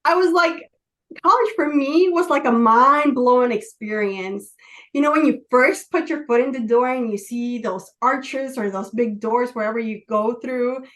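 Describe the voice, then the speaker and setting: Earnest Spanish-native woman, halting storyteller. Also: fairly high pitch, poor audio. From Chicago, USA. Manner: earnest, halting, frequent fillers, drops Spanish words. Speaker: podcast guest. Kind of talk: casual interview, personal storytelling.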